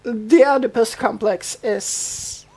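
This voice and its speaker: A woman's voice: a little high, slow, darkish tone, breathy edge. A woman co-hosting a podcast, casually recapping a TV show in loose, hesitant phrases. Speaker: podcast co-host.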